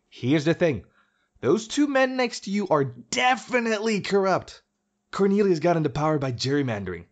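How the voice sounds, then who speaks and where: A man's voice: mid-range voice, natural voice. A man playing a gleefully menacing young tyrant president in an audio drama, sarcastic and mock-friendly. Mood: mocking menace.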